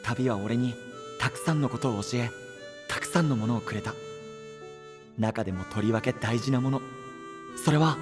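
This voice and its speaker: An actor playing an eager, boyish young knight in an anime voice drama, cheerful and casual in manner. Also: male voice, mid-range voice, normal speed, pauses often, over music, lightly acted. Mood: solemn monologue. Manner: excitable, casual, rustic, boyish.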